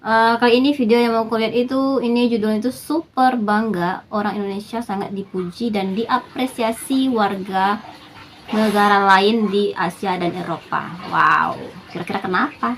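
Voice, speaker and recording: A woman's voice: medium pitch, moderate pace, a little hiss or hum, low-quality recording. A woman hosting a reaction video, chatting casually and reacting with short interjections.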